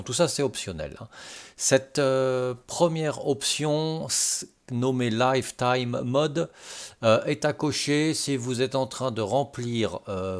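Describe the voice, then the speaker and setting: A man presenting an informal step-by-step software tutorial, explaining things and checking in with short tags. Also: medium pitch. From Brittany, France.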